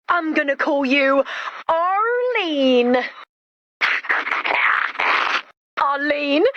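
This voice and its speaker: High-pitched, excitable young inventor. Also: female voice, highs cut off, slight background noise, full character voice. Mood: giddy excitement. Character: eager, kindhearted young female inventor, excitable and well-meaning. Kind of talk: animated video game character dialogue.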